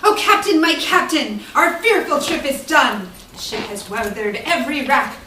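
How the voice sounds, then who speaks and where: Woman hamming up a melodramatic grieving sailor, swooping high. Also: lively intonation, moderate pace, sharp tone. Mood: grand, proclaiming. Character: melodramatic grieving sailor mourning his fallen captain. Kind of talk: hammy dramatic poetry recital. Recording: echoey, noisy room, distant mic.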